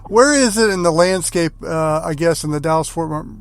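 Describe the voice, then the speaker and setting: High-pitched American man hosting sports radio. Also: brisk, clear, resonant tone. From Arkansas, United States. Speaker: sports show host.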